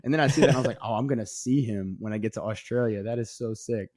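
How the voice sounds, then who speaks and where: A young American man in his twenties with a fairly low voice, talking in a relaxed, chatty way as a podcast guest. He restarts his sentences often, and the recording is close and dry. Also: fast talker, no echo. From Maryland, USA. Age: 20s. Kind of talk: casual interview chat.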